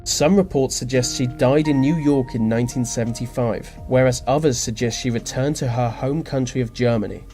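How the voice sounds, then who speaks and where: A man reading scripted narration for a list video, slipping into dry, deadpan asides. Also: mid-range voice, a little fast, few pauses. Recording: music behind the voice. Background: background music.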